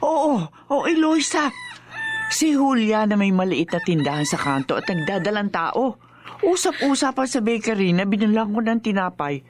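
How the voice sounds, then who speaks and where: A woman's voice: mid-range voice, animated, normal speed, a little hiss or hum, close to an everyday voice. A woman playing a widowed older mother in a radio drama, grave and emphatic. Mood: alarmed.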